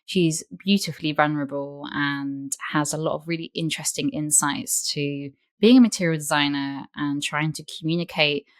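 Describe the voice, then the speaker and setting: A woman hosting a podcast with a slightly croaky voice after being ill, speaking effusively and lingering over her words. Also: low-pitched. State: croaky voice from recent illness. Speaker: podcast host. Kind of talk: scripted intro, then casual interview chat.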